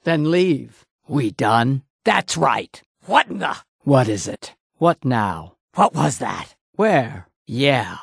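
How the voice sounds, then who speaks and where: Gravelly, slow-talking orc warrior woman. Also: very deep voice, sing-song, no echo, studio quality, full character voice. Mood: blunt, curt. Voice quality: gravelly, husky. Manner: blunt, curt, menacing. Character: gruff, proud female orc warrior. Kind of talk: video game character voice lines.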